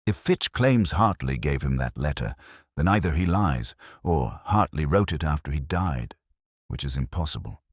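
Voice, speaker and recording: An earnest, puzzled police sergeant played by a male narrator in a mystery story, respectfully questioning. The voice sits very low but moves about in lively intonation. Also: normal speed, breathy edge, dry recording, studio quality, exaggerated voice.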